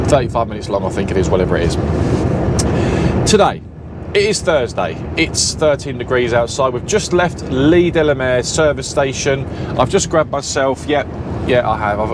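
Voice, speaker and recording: A man with a Southern English accent, a lorry driver chatting casually and rambling a little as he drives, often correcting himself mid-sentence. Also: medium pitch. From Southern England. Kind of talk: casual vlog chat while driving. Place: lorry cab on the road. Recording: loud engine and road noise. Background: lorry engine and road noise.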